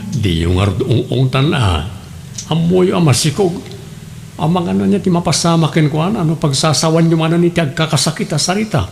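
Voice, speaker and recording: A man's voice: a little high, lively intonation, moderate pace, gravelly, a little mellow. A man playing an earnest, insistent young suitor and son in an audio drama, pleading with an Ilocano accent. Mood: weary, protesting. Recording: faint background noise.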